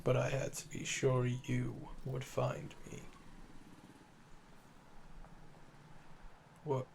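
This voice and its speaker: A man playing a calm, flirtatious, obsessive assassin under interrogation in an intimate ASMR roleplay, teasing and smug in a deliberate way. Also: medium pitch, vocal fry, slight background noise. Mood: admiring, seductive.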